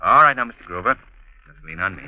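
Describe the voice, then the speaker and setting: A man playing a kindly, assured gentleman hero in an old-time radio drama, polished and theatrical, on a muffled old recording. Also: deep voice, lively intonation, fast talker.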